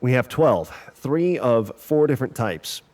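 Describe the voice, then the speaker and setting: Man as sci-fi scientist: confident, precise, technical, measured delivery, average male pitch. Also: expressive, a little mellow, natural voice. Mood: matter-of-fact. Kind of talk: audio drama acting.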